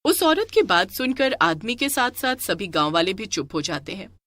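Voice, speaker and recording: A woman's voice: medium pitch, big pitch swings, normal speed, fairly bright tone, no room sound. A woman narrating a scripted story in a dramatic storytelling style.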